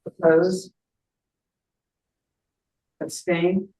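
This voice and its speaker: A meeting chair with a medium-pitched voice and a slow, hesitant delivery. The speech is procedural, with halting readings of motions and figures, and is picked up from some distance. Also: level tone, dark tone, roomy sound. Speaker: chair of a town finance board meeting.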